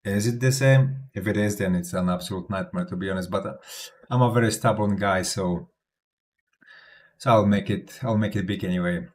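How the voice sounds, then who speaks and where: A man's voice: lowish voice, normal speed. A man from Poland with a Polish accent, talking casually to camera as a crypto YouTuber, chatty and self-assured, often restarting his sentences with small laughs.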